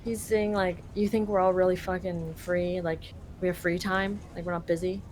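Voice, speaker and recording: A woman's voice: fairly low pitch, level tone, normal speed, darkish tone, slight background noise. Casual woman translating a game live. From Canada. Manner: loose, filler-heavy paraphrasing. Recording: game music behind.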